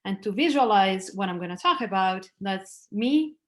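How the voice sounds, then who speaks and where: A woman's voice: medium pitch, lively intonation, moderate pace. A middle-aged woman, a native Italian speaker, giving an academic talk over a video call, conversational and prone to restarts. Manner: conversational, with frequent restarts and "like" fillers. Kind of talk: conference talk over slides.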